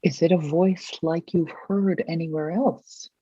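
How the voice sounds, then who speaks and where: Guide for a visualization exercise: very slow, mellow and husky, gentle prompting, long pauses, dry dull recording. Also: medium pitch, fairly even intonation, dry recording.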